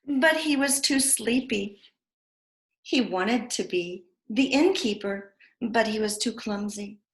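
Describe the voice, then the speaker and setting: A woman reading a picture book aloud to young children with expressive storytelling. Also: medium pitch, slow. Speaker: preschool storyteller. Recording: echoey room.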